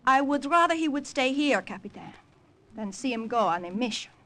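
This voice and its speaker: An actress playing a fierce, suspicious French farm woman in a wartime radio drama, indignant and sharp-tongued, with a French accent. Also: high-pitched, animated, normal speed. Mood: scornful. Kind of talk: 1940s radio acting.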